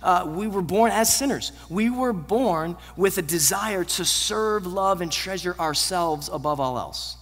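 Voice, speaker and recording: Earnest high-voiced pastor in a reverberant church hall. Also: male voice, animated, unhurried, hardly any pauses, fairly bright tone. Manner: earnest, building to emphatic peaks then dropping low. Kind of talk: sermon. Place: large church auditorium stage.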